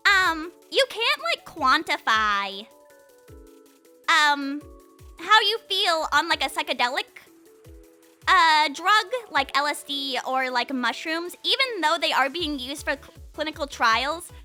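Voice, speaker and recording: A young woman hosts a casual livestream chat in a very high, strikingly bright voice. Her intonation moves around a lot as she rambles playfully from one thought to the next, talking to her viewers. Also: animated, takes it slowly, soft background music. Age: 20s. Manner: playful, rambling, says "like" constantly. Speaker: livestream host chatting with viewers.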